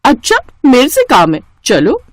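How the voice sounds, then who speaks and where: Lady doing a high haughty flirty big sister for a hindi cartoon story, taunting and entitled, dry close sound. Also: wildly swooping pitch, a little slow, dry recording, full character voice. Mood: brazen, flirtatious. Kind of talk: cartoon moral story acting.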